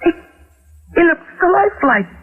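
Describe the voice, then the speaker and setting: An actress playing a frightened married woman, speaking haltingly in 1940s radio drama style. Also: very high voice, very animated, heavy background noise. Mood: shaken, fearful. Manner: halting, breaking off and restarting phrases. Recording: tinny, muffled old radio broadcast.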